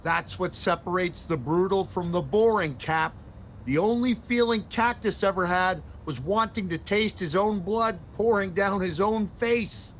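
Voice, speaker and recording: A man's voice: high-pitched, a little slow, a bit bright, a little hiss or hum. A man playing an eccentric, folksy and menacing old-school hardcore wrestler in a video game cutscene. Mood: grim, proud.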